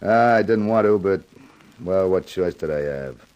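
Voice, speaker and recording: A man's voice: deep voice, a little slow, darkish tone, dull audio. An actor playing a menacing, impatient racketeer in a radio crime drama, with an American accent and curt, slangy tough-guy talk. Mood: grim, blunt. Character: menacing hard-boiled racketeer, tough and impatient gangster boss.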